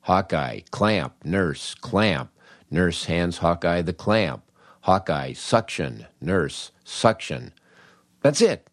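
An older American man tells funny stories as a podcast host. His voice sits on the low side, with a wry, understated delivery. The recording is close and dry, with no room sound. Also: very animated, takes it slowly, no echo. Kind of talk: comic anecdotal storytelling.

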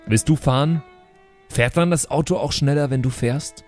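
A man's voice: medium pitch, normal speed, a little mellow. A man talking casually as a player in an improvised tabletop game. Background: faint background music.

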